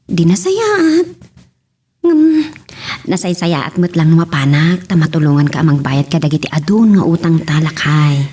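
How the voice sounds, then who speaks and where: Actress as determined mother and wife, radio drama; lively, swooping pitch, slightly husky delivery. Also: a little slow, full character voice. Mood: persuading. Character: earnest married mother set on working abroad. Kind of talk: amateur radio-style drama acting.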